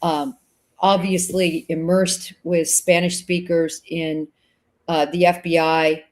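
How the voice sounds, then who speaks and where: A woman hosting an interview, responding to her guest in a deliberate way, slowing down to stress key words. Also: fairly low pitch, level tone, a little mellow. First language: English. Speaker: host. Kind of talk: conversational interview.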